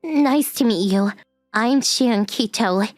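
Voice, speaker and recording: A woman's voice: on the high side, no echo, studio quality, faint music behind. A woman voicing a prickly, easily flustered young stepsister in a manga dub, with a huffy, defensive manner. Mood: nervous. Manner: huffy, defensive, slow-paced. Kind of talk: manga dub character acting.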